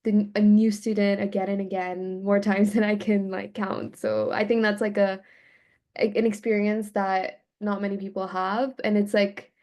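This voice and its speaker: A woman in her early twenties, raised in India and the US, speaking softly with many fillers. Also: mid-range voice, moderate pace. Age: 20s. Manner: soft-spoken, many fillers. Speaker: graduate student guest. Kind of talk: casual conversation.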